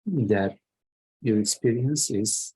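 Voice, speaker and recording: A man leading a guided meditation as a teacher, speaking in short phrases with long pauses between them. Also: lowish voice, mellow, slightly rough, low-quality recording.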